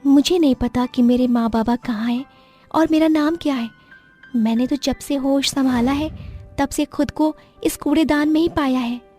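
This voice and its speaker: Woman voicing a high, plaintive orphan girl. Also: moderate pace, dark tone, lightly acted. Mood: forlorn. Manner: plaintive, pleading. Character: pitiful twelve-year-old orphan beggar girl, pleading. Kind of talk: cartoon story voice acting. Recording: music behind the voice.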